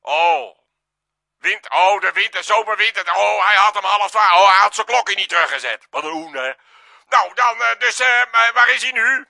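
A very bright, piercing comic radio host, irritable and bossy, with a Dutch accent. He stammers and repeats himself as he tries to run his show, high in pitch with a creaky edge. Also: moderate pace, full character voice. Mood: flustered, grumbling. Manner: stammering, repetitive, exasperated. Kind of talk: comedy radio sketch, mock live broadcast.

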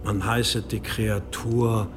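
Slow, solemn archaic chronicler. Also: male voice, on the low side, little pitch movement, over music. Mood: ominous, solemn. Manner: measured, ominous, archaic diction. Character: grave old-time chronicler reading of a monster. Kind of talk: solemn archaic narration in a radio play.

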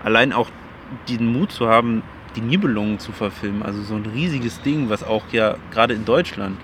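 A man's voice: lowish voice, brisk, noisy background. A young man, a student, chatting casually and enthusiastically in long run-on sentences. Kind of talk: casual chat.

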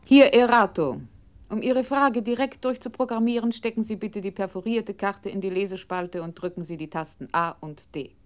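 A woman's voice: mid-range voice, moderate pace, natural voice. A woman playing a formal, commanding computer control unit in a radio play, speaking with emphatic, precise enunciation. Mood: official, instructing. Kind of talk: scripted 1960s radio play narration.

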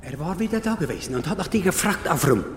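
Caring older uncle for radio drama: singsong, lively, slightly high, in a roomy echo. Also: male voice, fast. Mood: fussing. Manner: singsong, Yiddish-style word order. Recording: echoey reverberant church hall.

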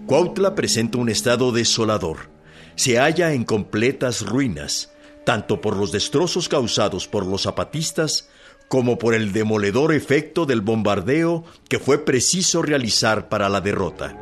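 An actor playing a formal, declamatory reader of an old newspaper report in a radio drama, with a Mexican accent. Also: male voice, medium pitch, animated, normal speed, soft background music, natural voice. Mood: grave, formal. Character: formal male reader of a 1917 government-side newspaper report. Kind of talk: radio drama news reading.

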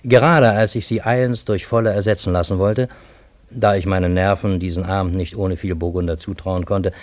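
An actor playing a cultured, courteous bookseller who narrates a German radio play in a measured, literary manner with a husky voice. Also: male voice, low voice, steady tone, a little mellow, natural voice. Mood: reflective narrating. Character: cultured Parisian bookseller, courteous first-person narrator of strange tale.

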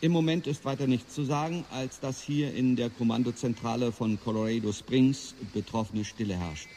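A man acting in a radio play as a grave war reporter, solemn and measured, as if live on air. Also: mid-range voice, dark tone, slight background noise, close to an everyday voice. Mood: grave, tense. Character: grave male reporter inside a war command bunker.

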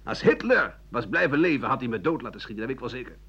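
Sardonic, self-justifying old man for radio drama monologue; husky, rambling delivery, muffled lo-fi recording. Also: mid-range voice, lively intonation, fast, sharp tone, full character voice. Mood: defensive, indignant. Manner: rambling, coarse, sardonic, stammering at times. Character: bitter, self-justifying old French doctor-writer looking back. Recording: dull lo-fi 1980 radio recording.

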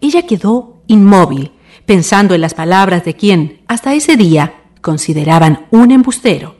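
A woman's voice: medium pitch, animated, normal speed, darkish tone. A woman with a Chilean accent reading scripted narration for a radio drama. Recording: long reverb, faint music behind.

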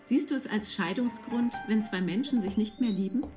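A woman's voice: mid-range voice, moderate pace, recorded from a distance. A woman posing reflective questions, one after another. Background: music.

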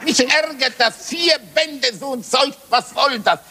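A man's voice: extremely high pitch, measured pace. A man giving an indignant, declaimed reading of literary insults. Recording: hall reverb, music behind.

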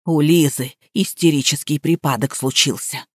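A woman's voice: steady tone, moderate pace, no echo, studio quality. A woman voicing a down-to-earth, familiar housemaid in an audiobook, speaking in a matter-of-fact way. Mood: calm, matter-of-fact. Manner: low-key, matter-of-fact.

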